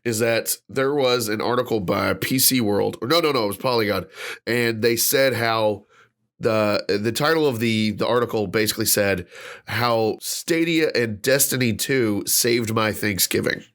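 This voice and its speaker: A man's voice: on the low side, normal speed, fairly bright tone. A man hosting a casual podcast, ranting with lots of fillers and restarts, sounding stuffed up and nasal. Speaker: podcast host.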